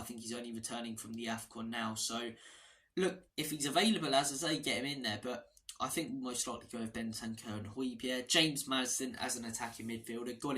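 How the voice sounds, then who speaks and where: A man with a hoarse voice, hosting a fan channel and chatting through a casual football match preview with a few restarts and digressions. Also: medium pitch, bright, forceful tone.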